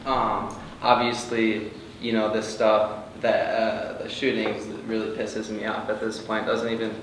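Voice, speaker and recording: Even-toned man in a very echoey room. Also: lowish voice, a little slow. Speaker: actor at a table read. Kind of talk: earnest group discussion. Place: indoor room with a group. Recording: very echoey room, distant, noisy.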